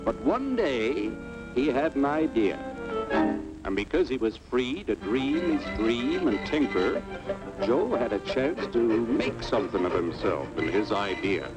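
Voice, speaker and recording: A man's voice: mid-range voice, sing-song, measured pace, poor audio. A man playing a plain-spoken American everyman, narrating in a folksy, persuasive way for a 1950s educational cartoon. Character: plain-spoken, level-headed everyman citizen, folksy storyteller. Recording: vintage film soundtrack, music behind.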